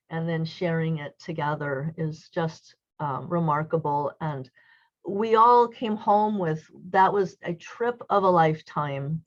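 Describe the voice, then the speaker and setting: A woman's voice: lowish voice, highs cut off. A woman speaking at a charity event, enthusiastic and hesitant, with many fillers. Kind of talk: casual recorded video message.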